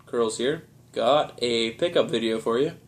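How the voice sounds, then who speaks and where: A young man with a head cold talks in a stuffed-up, nasal voice. He rambles in a relaxed, easygoing way, padding his sentences with "uh" and "um" as he shows things off. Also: mid-range voice, a little slow. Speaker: video game collector vlogger. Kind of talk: casual show-and-tell vlog.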